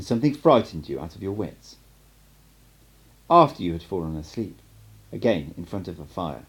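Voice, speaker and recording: An assured male sorcerer mentor from an audiobook, read by a man. He speaks fairly low, with lively rises and falls. His teaching is patient, cryptic and emphatic, delivered in measured phrases. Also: slightly rough, rough recording.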